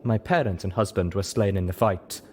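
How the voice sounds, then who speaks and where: A dignified zebra mother acted by a man in an audiobook story. She speaks in formal, measured phrases with a steady, reserved sorrow. Noise sits clearly behind the voice. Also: deep voice, natural voice. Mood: sorrowful, steady.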